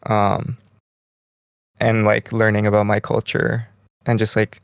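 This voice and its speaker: A young man co-hosting a podcast in casual chat, rambling in a relaxed way and saying "like" constantly, lying in bed in a small bedroom. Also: lowish voice, slow pace, gravelly, clear, resonant tone, dry recording, clean studio sound. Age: young adult. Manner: relaxed, rambling, heavy use of "like". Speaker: podcast co-host.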